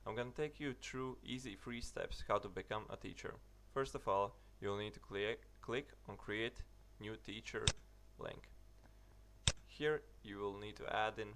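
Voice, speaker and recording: A young British man narrating a website walkthrough, halting with many fillers and restarts. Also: on the low side, heavy background noise. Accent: British English. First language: English. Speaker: tutorial narrator. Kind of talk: screen-recorded tutorial walkthrough.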